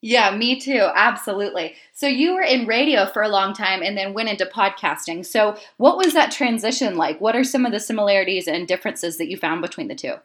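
A woman's voice: mid-range voice, lively intonation, a little fast, hardly any pauses, a bit bright. A woman hosting her podcast, asking questions with friendly enthusiasm. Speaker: podcast host.

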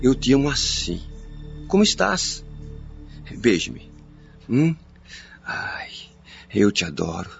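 An actor playing a scheming, smooth-talking, two-faced husband in a theatrical radio soap style. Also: male voice, medium pitch, big pitch swings, takes it slowly, pauses often, full character voice. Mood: sweet-talking, coaxing. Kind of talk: radio soap opera acting. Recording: dull lo-fi audio, music underneath.

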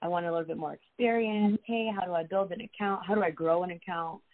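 Young woman guest, lowish voice, rambling casual chat, tinny phone-line sound. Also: fast talker. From Los Angeles, USA. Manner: chatty, many fillers and restarts. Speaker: podcast guest, social media creator.

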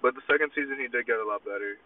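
A man's voice: medium pitch, racing, distant mic, a crowd in the background. A man chatting casually as a podcast guest in a car, hesitant and agreeable, often trailing off. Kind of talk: casual chat. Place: parked car. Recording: tinny phone-like audio, faint car noise, off-mic.

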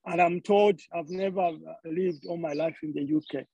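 A man's voice: on the high side, steady tone, moderate pace, darkish tone, slightly rough, dry recording, dull audio. A man from Zambia preaching a sermon, often repeating words as he searches for the next phrase.

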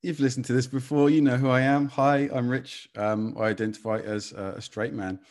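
A man's voice: mid-range voice, little pitch movement, fairly quick, a little mellow. A man co-hosting a podcast, asking questions in a curious, thoughtful way. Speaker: podcast co-host. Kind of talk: casual interview talk.

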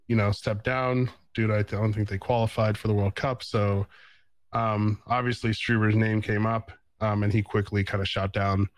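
A man's voice: lowish voice, dry recording. A man hosting a casual sports podcast over an online call, rambling with many ums. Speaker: podcast host.

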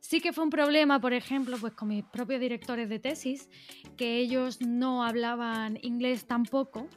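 A woman's voice: fairly high pitch, fairly even intonation, moderate pace, faint music behind. A woman talking casually as a doctoral researcher, fluent and steering the conversation. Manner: fluent, summing up and steering the talk.

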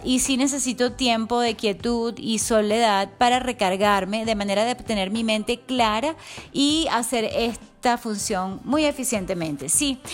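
A middle-aged woman hosting a wellness podcast, giving an enthusiastic, affectionate, chatty motivational monologue. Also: a little high. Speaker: wellness coach podcast host.

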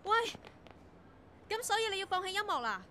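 An actress in a Cantonese radio drama playing a naive, eager young radio intern, earnest and chatty, with a Hong Kong accent. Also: female voice, extremely high pitch, sing-song, brisk, pushed and bright, slight background noise, heavily acted. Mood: concerned, earnest.

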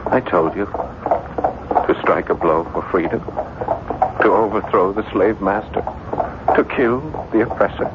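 An actor playing a haunted, frantic gentleman in a 1970s radio drama, intense and obsessive. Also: fairly low pitch, unhurried, pauses often, close to an everyday voice. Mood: bitter, brooding. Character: haunted, frantic gentleman convinced an evil spirit enslaves him. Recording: muffled, very noisy old radio broadcast.

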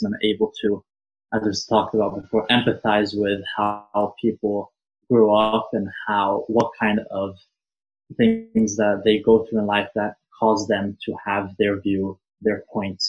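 A nineteen-year-old man from Norway speaks as an interview guest, his voice sitting fairly low. He talks earnestly and thoughtfully, pausing on fillers as he works through his ideas. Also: level tone, poor audio. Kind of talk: casual interview conversation.

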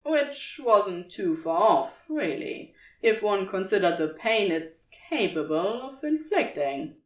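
A woman reads scripted fiction in audiobook style. Her mid-pitched voice moves at a slow, measured pace, with lively, varied intonation.

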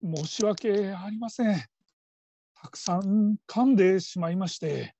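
A woman's voice: medium pitch, unhurried, dark tone, husky, no echo. A woman playing a nervous, humble call-centre trainee in her fifties in a radio drama, stammering and stiffly over-polite. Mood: apologetic, earnest. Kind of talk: Japanese radio drama acting.

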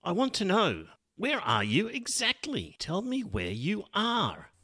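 A man voicing a gruff middle-aged father who questions his daughter calmly and firmly in a story reading. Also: sits high, voice pushed up, exaggerated voice. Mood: stern. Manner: calm, firm, measured questioning.

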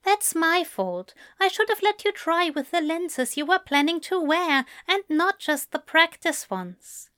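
A woman reading a story aloud and voicing a gentle, caring man who speaks softly and reassuringly. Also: very high voice, very animated, a little slow, few pauses, dry recording, clean studio sound, voice pushed up, full character voice. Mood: tender. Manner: soft, tender, reassuring. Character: gentle, fussy, kindly man, soothing and caring. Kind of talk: audiobook narration of fan fiction.

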